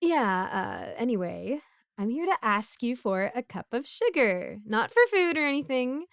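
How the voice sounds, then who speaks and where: Woman doing a chatty broke lady villain neighbor, audio roleplay, clean crisp voice, very dry close sound, awkward and pleading. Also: a little high, sing-song, a little slow, no echo, exaggerated voice. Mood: sheepish, chatty. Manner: rambling, awkward, pleading and self-justifying. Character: broke, bumbling, chatty neighborhood supervillain asking favors. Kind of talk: casual conversational audio roleplay monologue.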